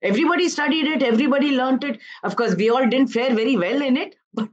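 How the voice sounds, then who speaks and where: A woman in her fifties from southern India speaks as an activist guest on a news panel. Her voice sits a little high, and she argues in an earnest, measured way. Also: highs cut off, crowd noise. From Karnataka, India. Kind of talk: live news panel interview.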